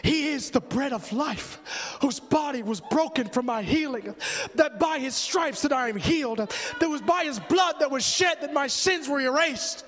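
A man preaching an impassioned sermon with a gravelly voice, fervent and emotional, in a rhythmic, repetitive, declamatory cadence. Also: very high-pitched, normal speed, fairly bright tone. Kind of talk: impassioned sermon listing the names of Christ. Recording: long reverb of a large hall.

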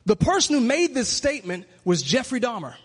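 Michigan-raised male preacher, higher register, earnest and building, big echoing hall. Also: very animated, fairly quick, breathy edge. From Michigan, USA. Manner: earnest, reflective, builds to emphatic. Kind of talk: sermon-style monologue. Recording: long hall reverb.

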